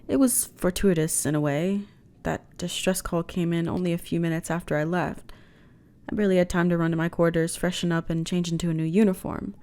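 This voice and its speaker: A woman's voice: on the low side, lightly acted. A woman playing a flirty, reassuring alien starship officer in an intimate ASMR roleplay, talking in a chatty, teasing way. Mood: wry, playful. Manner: teasing, chatty, conversational with sighs and soft laughs.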